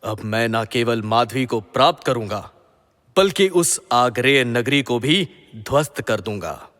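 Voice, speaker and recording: A jealous king of the gods in a mythological audio drama. He speaks slowly in a bright, forceful mid-range voice, pompous and haughty, ringing through a long reverb. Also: mid-range voice, lively intonation. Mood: menacing, defiant.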